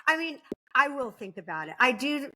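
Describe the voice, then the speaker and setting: A woman guest on a podcast, chatting casually and informally, thinking aloud in halting phrases. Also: high voice, big pitch swings, a loud crowd. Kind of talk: casual chat.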